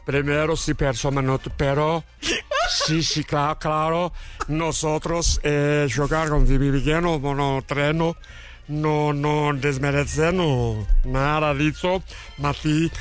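A man voicing a middle-aged foreign football coach who stumbles through Portuguese mixed with Spanish, halting and muddled. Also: a little high, steady tone, soft background music. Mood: rambling. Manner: halting, mixing Spanish and Italian-sounding words.

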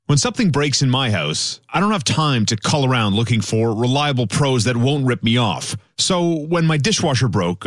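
A man's voice: medium pitch, fairly bright tone, no room sound. Male ad read, upbeat scripted endorsement, dry close-miked sound. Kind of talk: read radio ad.

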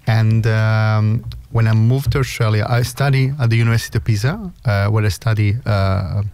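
A middle-aged man with a strong Italian accent, speaking in a lowish voice as an interview guest. He pauses often, filling gaps with hesitant sounds and restarting phrases. The recording is dry and close, with no room sound. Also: steady tone, a little mellow, clear, resonant tone, no echo. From Sicily, Italy. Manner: halting, many filler sounds and restarts. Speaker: guest, cancer researcher. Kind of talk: casual interview chat.

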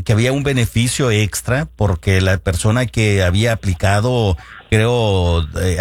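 A man hosting a radio advice show, who asks questions hesitantly and defers to his guest. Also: on the low side. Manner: hesitant, many "eh" fillers, deferential.

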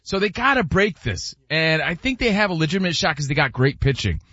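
A man's voice: fairly high pitch, animated, fairly quick, sharp tone, dry recording, lo-fi sound. A man in his forties hosting a sports radio show, joking and teasing in casual banter.

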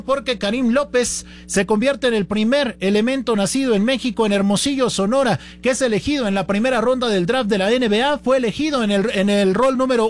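A man with a Mexican accent presenting a sports news report, talking in a semi-improvised way with filler connectors. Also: high voice.

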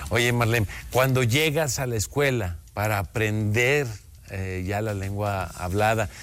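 A man hosting a broadcast interview, asking questions in a friendly, halting way. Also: lowish voice, a bit bright. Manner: friendly, hesitant with fillers. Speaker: host.

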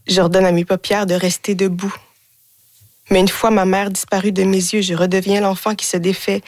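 A woman in her twenties, born in Haiti, reciting her own spoken-word poetry in a measured, deliberate way in a radio studio. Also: on the low side, dry recording. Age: 20s. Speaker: guest poet.

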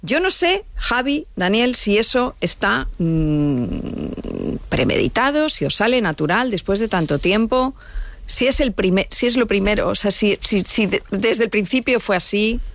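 A woman's voice: mid-range voice, lively intonation, a little slow, no room sound. A woman hosting a radio interview, chatty and rambling. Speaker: radio host. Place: radio studio.

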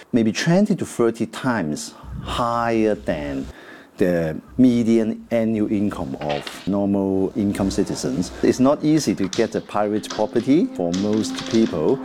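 A man's voice: fairly low pitch, big pitch swings, unhurried, mellow, a little hiss or hum. A man in his forties from Hong Kong, a native Cantonese speaker, talking hesitantly with many fillers in an interview. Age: 40s. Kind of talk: casual interview.

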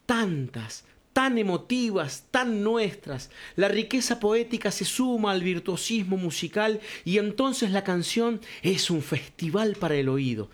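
Older man, high voice, storytelling radio columnist who says compadre. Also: expressive, measured pace. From Cuyo, Argentina. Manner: warm storytelling, addresses listener as compadre. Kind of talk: reflective spoken radio column.

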